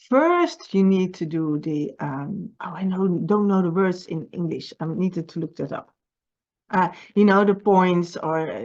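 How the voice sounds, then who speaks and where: A woman with a Dutch accent, a native Dutch speaker, giving earnest writing advice in a personal video message as a publisher, halting and often repeating words. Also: on the low side, a little mellow, lo-fi sound.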